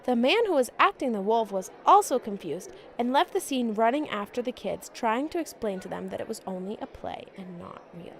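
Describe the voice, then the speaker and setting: A woman's voice: a little high, lively intonation, normal speed, few pauses, slight background noise. A woman narrating a children's fairy tale as a storyteller, reading from a script. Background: music.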